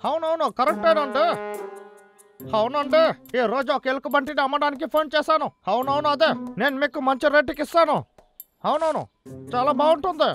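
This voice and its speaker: A high, theatrical cartoon hunter voiced by an actor, full of bragging and schemes. The delivery is hot-tempered and exclamatory, like a self-styled hero, with music playing underneath. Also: fairly even intonation, brisk, natural voice. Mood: smug salesman. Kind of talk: animated cartoon dub acting.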